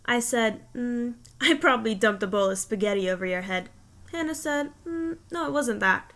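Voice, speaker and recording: A woman reading a humorous personal essay aloud in an expressive, emphatic, mock-stern way. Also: on the high side, moderate pace.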